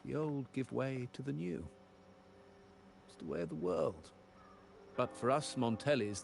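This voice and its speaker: A man playing a stern aging head of a crime family in a video game, speaking gravely in a gravelly voice. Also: lowish voice, slight background noise. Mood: solemn. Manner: slow, grave, measured. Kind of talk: dramatic video game dialogue.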